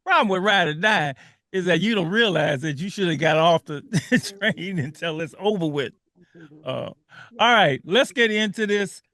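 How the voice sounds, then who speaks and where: Male radio host, high and bright, casual and slangy, prone to laughing. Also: animated, normal speed. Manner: laughs often, repeats words, street slang.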